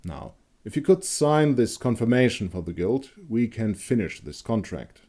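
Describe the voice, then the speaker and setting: A man voicing a cocky young monster hunter with a defensive, snarling manner in a dark fantasy audio story. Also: on the low side, very animated, moderate pace, voice pushed up. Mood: smooth, assured.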